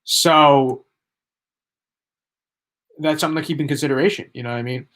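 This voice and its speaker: A young man running live-stream commentary in a slightly high voice. He talks in rambling, emphatic bursts, circling back over the same points. His voice is starting to wear thin and hoarse. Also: moderate pace, a bit bright. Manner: rambling, emphatic, repeats himself. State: losing his voice. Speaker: livestream political commentator.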